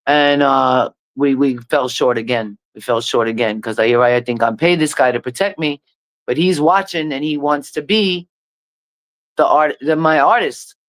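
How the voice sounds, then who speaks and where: A middle-aged woman, a reggae artist, recounting painful events in a halting, intense way. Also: very deep voice, no room sound, low-quality recording. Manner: halting, repeats herself, intense. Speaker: reggae artist, interview guest. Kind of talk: emotional personal testimony.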